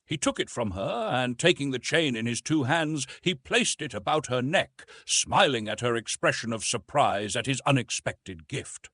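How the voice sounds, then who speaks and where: A man narrates a classic adventure story in a measured, literary way. His pitch moves widely and expressively through each sentence, and his voice has a husky edge. The sound is dry and close. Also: lively intonation, bright, forceful tone, no echo. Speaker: audiobook narrator.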